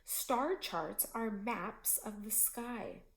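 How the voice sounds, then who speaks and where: A woman reading a children's picture book aloud as a teacher, in a slow and expressive storytelling manner. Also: mid-range voice, creaky, echoey room.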